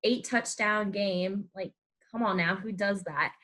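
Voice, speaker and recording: A woman's voice: medium pitch, measured pace, bright, forceful tone. A young woman hosting a podcast in a casual interview style. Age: young adult. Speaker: podcast host.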